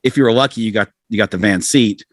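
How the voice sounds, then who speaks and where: A man's voice: fairly low pitch, quick pace, dry recording, clean studio sound. An American man in his forties chatting casually as an interview guest, rambling and self-deprecating as he tells stories. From southern United States. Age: 40s. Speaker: podcast guest, rock musician.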